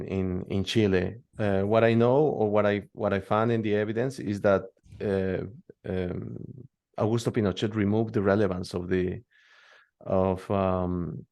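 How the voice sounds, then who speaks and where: A man from Peru with a tongue, answering interview questions in a hesitant way, full of pauses and restarts. His voice sits low and has a gravelly edge. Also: steady tone, darkish tone. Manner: hesitant, many fillers and restarts. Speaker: guest expert.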